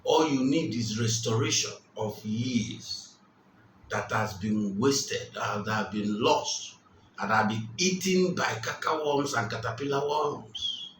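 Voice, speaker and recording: Male preacher, slow, emphatic delivery, repeats key lines, mid pitch, distant mic in an echoey room. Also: animated, fairly bright tone, slight background noise. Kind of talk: sermon. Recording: roomy, distant mic, faint ambience.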